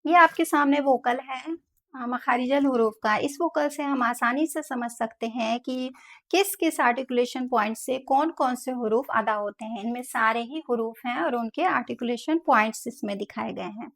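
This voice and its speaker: Soft-spoken woman teaching letter sounds. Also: on the high side. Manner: slow, careful demonstration of letter sounds with repetition. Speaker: Quran pronunciation teacher. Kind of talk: step-by-step tajweed lesson.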